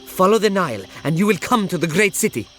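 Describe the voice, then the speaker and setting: A man's voice: high voice, expressive, fast, over music. A man voicing a grave, urgent ancient Egyptian messenger in a video game.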